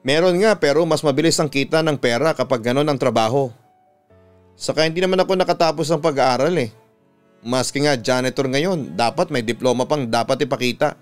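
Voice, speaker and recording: Clear-voiced Filipino man narrating over music. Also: mid-range voice, a little fast. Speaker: radio announcer and host reading listener stories. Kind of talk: scripted first-person story narration. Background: instrumental music.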